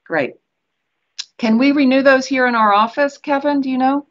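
An older woman moderating a public online meeting as an elected official, speaking in a deliberate, encouraging way over a video call. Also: high voice. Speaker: elected official hosting an event. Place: small office. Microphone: video call computer microphone. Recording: dull video call audio.

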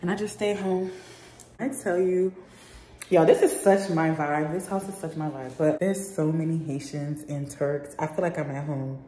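A woman's voice: low-pitched, steady tone, moderate pace, mellow. A young woman vlogger chatting casually and informally to camera in slangy speech, indoors on a handheld phone. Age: young adult. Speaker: travel vlogger. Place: indoors in villa rooms. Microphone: phone at arm's length. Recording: noisy handheld vlog audio.

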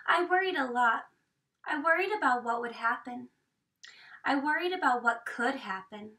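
A woman in her thirties reading a picture book aloud expressively, with dramatic hushed emphasis, recorded from a camera across a small room. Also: fairly high pitch, expressive, moderate pace. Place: small indoor room with bookshelves. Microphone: camera a few metres away. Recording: distant microphone in a room.

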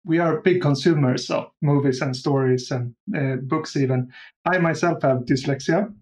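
A man's voice: fairly high pitch, moderate pace, a little mellow. A man speaking casually as an interview guest about his creative work. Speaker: podcast guest, audio drama creator.

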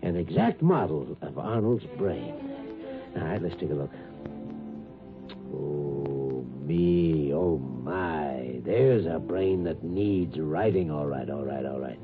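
An actor playing a fussy, self-satisfied sinister doctor in a 1950s radio drama, muttering and gleefully patronizing. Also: male voice, very deep voice, expressive, slow pace, full character voice. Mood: fussy, absorbed. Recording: tinny 1950s radio, music behind.

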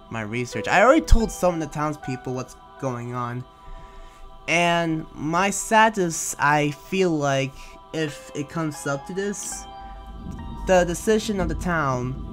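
A man playing a weary, conflicted demon slayer in an improvised game roleplay, speaking haltingly and pensively. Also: fairly high pitch. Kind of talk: improvised Minecraft anime roleplay. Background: music.

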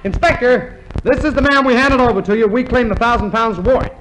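A man playing a scheming, fast-talking accomplice in a broad comedy sketch. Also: very high-pitched, fairly bright tone. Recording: noisy old comedy recording.

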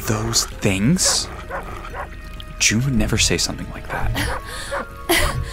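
A man's voice: medium pitch, huge pitch swings, slow, over music. A man acting an intense, shaken and accusing young man in an audio drama. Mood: shocked.

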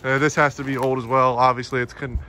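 A man with a bright, forward voice gives a casual walk-along commentary as a hiking vlogger. His delivery is unpolished, halting and matter-of-fact. It is recorded on a phone out in the woods, with faint ambient noise behind him. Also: mid-range voice, flat delivery. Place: outdoors in woods by a river.

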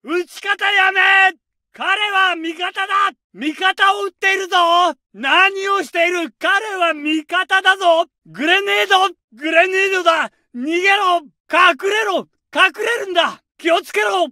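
A man's voice: very high-pitched, a little slow, dry recording, studio quality, exaggerated voice. A man voicing a young male soldier in a video game, barking urgent battle calls and orders. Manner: shouted, urgent military barks. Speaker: infantry soldier. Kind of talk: game combat voice lines.

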